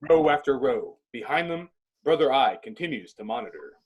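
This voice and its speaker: A man with a husky voice narrating a script in a clipped, descriptive reading style. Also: mid-range voice, sing-song, normal speed, dull audio.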